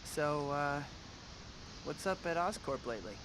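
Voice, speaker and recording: A man's voice: a little high, expressive, a little slow, a bit bright, a little hiss or hum, rough recording. A man voicing a young, earnest and slightly awkward superhero in a video game. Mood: friendly. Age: young adult.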